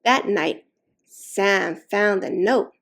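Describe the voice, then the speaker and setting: A woman reading a children's story aloud expressively, with slow, deliberate, dramatic emphasis on key words. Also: mid-range voice, gravelly, a bit bright. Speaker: storytime reader.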